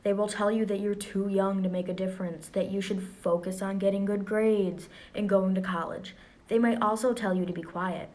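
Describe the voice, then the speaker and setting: A young woman reading a book aloud to students in a calm, encouraging voice, then talking through a class activity in a quick, chatty way. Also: medium pitch. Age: young adult. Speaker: student reader.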